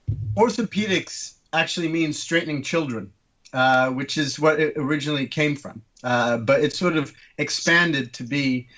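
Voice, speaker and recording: A man's voice: on the high side, moderate pace, a bit bright, dull audio. A man in his thirties from Turkey, guest on a casual podcast, explaining things with many ums and uhs. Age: 30s. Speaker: guest orthopaedic surgeon.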